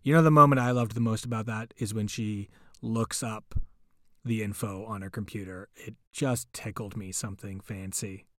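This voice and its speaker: A man's voice: fairly low pitch, steady tone, moderate pace, sharp tone, dry recording, clean studio sound. A man hosting a podcast, wrapping up an episode with enthusiastic, joking praise and a run of social media plugs. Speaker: podcast host. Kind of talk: podcast outro with promotions.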